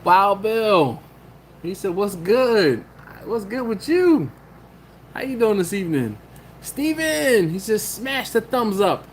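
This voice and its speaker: A man's voice: high voice, big pitch swings, takes it slowly, slight background noise. A man hosting a casual livestream, reading chat comments aloud and rambling with laughs and restarts. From Maryland, US. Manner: rambling, laughs often, self-interrupting.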